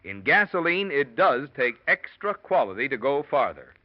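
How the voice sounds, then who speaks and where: A male radio announcer delivers a folksy sales pitch with a lively, swinging pitch. The recording is dull and lo-fi, like an old broadcast. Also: moderate pace. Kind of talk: radio commercial read.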